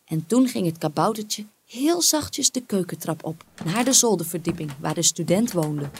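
A woman reading a fairy tale as an expressive storyteller, with dramatic, deliberate phrasing. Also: lowish voice, slight background noise.

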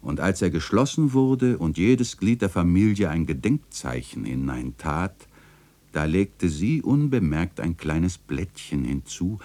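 An actor playing a reflective, nostalgic chronicler narrating a literary radio play in a measured, contemplative way. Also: male voice, low voice, expressive, dark tone. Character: reflective, nostalgic male chronicler of an old city lane.

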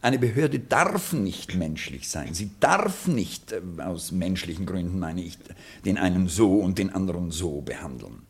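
Nervous, stammering government clerk. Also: male voice, sits low, very animated, a little slow, husky, heavily acted. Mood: earnest, apologetic. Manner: hesitant, stammering, over-polite. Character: nervous, apologetic, well-meaning male government clerk. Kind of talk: German radio play acting.

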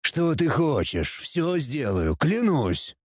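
A man's voice: mid-range voice, very animated, unhurried, a little mellow, no room sound. An irritable devil monarch, played by a man narrator, growling and weary of his heir. He grumbles with exasperation in a dry fantasy audiobook recording. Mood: resigned.

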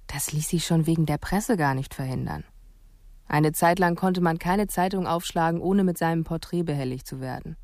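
An actress playing a candid female psychologist narrating her memories in a radio drama, measured and matter-of-fact. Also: low voice, darkish tone, no room sound. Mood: reflective, candid. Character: reflective, candid female psychologist recalling a case.

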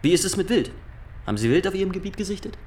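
Stiff, officious inspector, pedantic. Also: male voice, high voice, expressive, racing, a little mellow. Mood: probing, pedantic. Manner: formal, pedantic, insistent interrogating. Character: stiff, officious young male state inspector questioning farmers. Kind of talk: German radio drama acting.

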